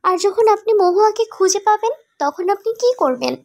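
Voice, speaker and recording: A woman playing a concerned, questioning young friend in an animated romantic drama. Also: very high-pitched, a little fast, dry recording. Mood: curious, concerned.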